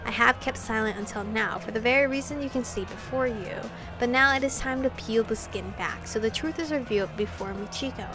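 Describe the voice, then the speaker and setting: A woman voicing an aloof, sardonic male vampire with a measured, formal, mocking manner, read from a video game. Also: on the high side, music in the background, natural voice. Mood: cool.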